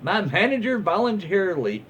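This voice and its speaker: A man with a Southern US accent and a husky voice reading online posts aloud in a casual, comedic, easily amused way. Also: sits high, big pitch swings, normal speed, a bit bright, a little hiss or hum.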